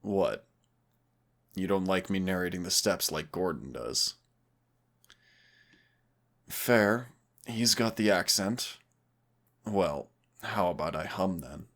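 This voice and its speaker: Very deep-voiced tender boyfriend, acted by a man. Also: expressive, slow, pauses often, fairly bright tone, heavily acted. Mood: playful, affectionate. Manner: tender, reassuring, conversational. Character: affectionate, cautious, playful boyfriend sheltering from a snowstorm. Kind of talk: intimate audio roleplay, one side of a conversation.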